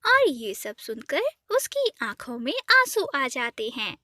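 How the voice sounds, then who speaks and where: A woman narrating a cartoon story in a soft, even storytelling manner. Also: sits high, takes it slowly, no room sound, studio quality.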